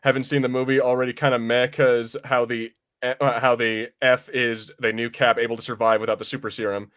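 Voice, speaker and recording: A man co-hosting a podcast, talking through movies casually in a halting, conversational way with some swearing. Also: medium pitch, little pitch movement, fairly bright tone, clear, resonant tone, no room sound, clean studio sound. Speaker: podcast co-host. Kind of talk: casual movie review chat.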